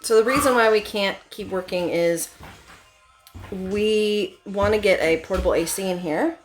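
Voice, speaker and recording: A woman's voice: medium pitch, measured pace, faint music behind. A woman talking casually and informally, explaining things as she goes in a vlog style. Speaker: vlogger documenting a DIY school bus conversion. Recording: clear ambient background noise.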